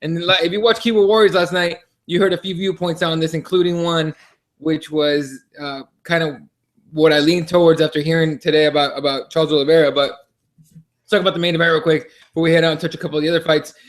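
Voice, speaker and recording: Male podcast co-host, higher-pitched voice, casual sports chat, unpolished and repetitive delivery with swearing. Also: steady tone, fast.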